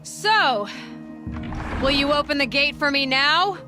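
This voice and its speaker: An actress playing a young hunter in a video game, resolute and capable, with a direct, terse, dry way of talking. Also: female voice, high-pitched, expressive, slow. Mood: dry, defiant. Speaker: game protagonist. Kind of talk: video game dialogue acting. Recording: game soundtrack music behind. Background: music.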